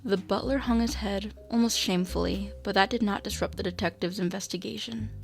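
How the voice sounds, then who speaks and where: A woman's voice: mid-range voice, fairly even intonation, brisk, gravelly. A woman narrating a scripted radio drama in the manner of a storyteller.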